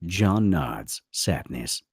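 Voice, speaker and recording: A man with a lowish, rough-edged voice narrates a script. His delivery swings widely in pitch, dramatic and vivid, recorded close and dry. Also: big pitch swings, extremely slow, no echo. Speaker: narrator reading stage directions.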